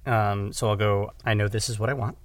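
A man's voice: lowish voice, little pitch movement, fairly quick, no echo. A man talking casually as an audio drama creator in an interview, often repeating words and correcting himself as he goes. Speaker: audio drama writer-director, podcast guest.

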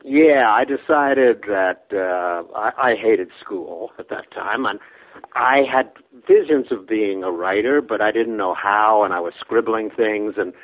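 A man in his nineties, originally from Brooklyn, tells his life story as an interview guest. His voice sits fairly low, and he rambles, restarts and lets his sentences fade quietly away. The sound is narrow and tinny, as if heard over a phone line. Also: measured pace. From Brooklyn, New York. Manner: rambling anecdotes that trail off softly, with restarts and chuckles.

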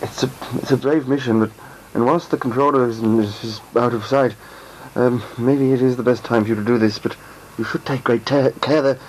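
A kindly, cautious male ally, gentle and hesitant, fussing over dangers ahead, as a man acts him out. Homemade radio play, faint hiss behind. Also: medium pitch, steady tone, fairly quick, close to an everyday voice, poor audio. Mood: concerned.

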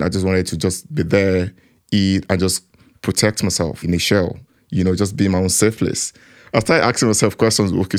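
A man's voice: sits low, darkish tone. A man giving a personal account in an interview, reflective and acting out his own inner voice.

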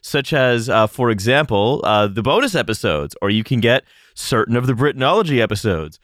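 Lively, jokey male podcast host. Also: mid-range voice, brisk, no echo, studio quality. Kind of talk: casual podcast chat and sign-off.

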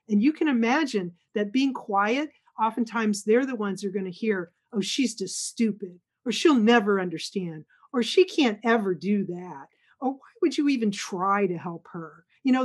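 Middle-aged woman guest, slightly high voice, emphatic and earnest. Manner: earnest, emphatic, acts out quotes. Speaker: guest speaker. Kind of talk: conversational interview.

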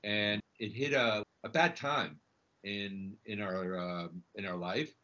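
Bright-voiced man in muffled lo-fi audio. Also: on the low side, steady tone. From Chile, emigrated to the United States. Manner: halting, repeats words. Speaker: podcast guest, snack bar entrepreneur. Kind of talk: conversational personal storytelling. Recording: dull, lo-fi audio.

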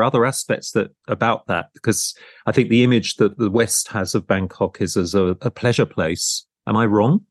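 A man with a fairly low voice hosts an interview podcast in a conversational, discursive way. He rambles a little and rephrases as he goes, like a lecturer chatting with a guest. The sound is close and dry, with little room in it. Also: no echo. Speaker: podcast host and art business lecturer.

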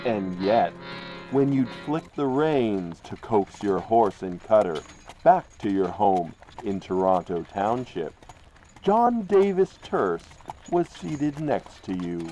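A man's voice: lowish voice, lively intonation, slow pace, mellow, faint music behind. A man narrating a crime radio drama in an ominous, theatrical manner. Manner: ominous, theatrical, addresses characters directly.

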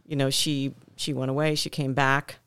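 A woman's voice: a bass voice, flat delivery, moderate pace, fairly bright tone, smooth, no echo. A woman on the gym staff chatting casually on a podcast, telling a story at length.

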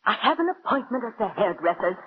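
An actress playing a tense, exasperated wife in a 1940s radio drama, with a dramatic, strained delivery. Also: female voice, medium pitch, sing-song, fast, lightly acted. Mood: cold, strained. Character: tense, exasperated wife weary of her taunting husband. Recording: muffled, hissy old radio recording.

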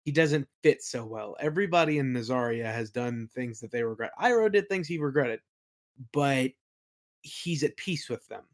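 A man with a medium-pitched voice talks casually as a guest in an interview. He thinks aloud as he answers, hesitating and feeling out his wording. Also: lively intonation, moderate pace. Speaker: interview guest, audio play creator.

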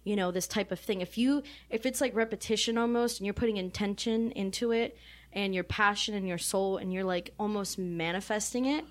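A woman's voice: mid-range voice, brisk. A woman being interviewed about her band, chatting animatedly and rambling with lots of fillers and frequent laughter. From Mexican American. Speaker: band member, guest. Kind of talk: casual interview chat.

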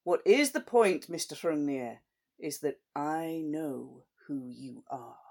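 A man voicing a big, calm middle-aged sheriff who speaks in a slow, measured drawl with quiet menace. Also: a little high, creaky, full character voice.

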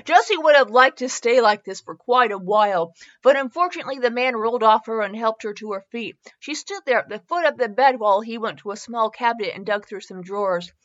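Giggly high-voiced woman reading fan fiction. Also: lively intonation, fairly quick, hardly any pauses, a bit bright. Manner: giggly, stumbles over words and breaks off to comment. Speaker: podcast host. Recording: slightly dull, lo-fi recording.

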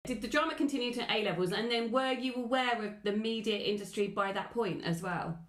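A woman's voice: on the high side, fairly quick. A woman interviewing conversationally as host, encouraging and affirming her guest.

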